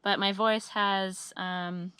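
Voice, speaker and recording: A woman giving a chatty, upbeat monthly update on her homestead work, her voice a little scratchy and hoarse, with frequent ums and uhs. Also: medium pitch, flat delivery, takes it slowly, pushed and bright, no echo. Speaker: homesteading vlogger. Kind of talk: casual monthly update vlog.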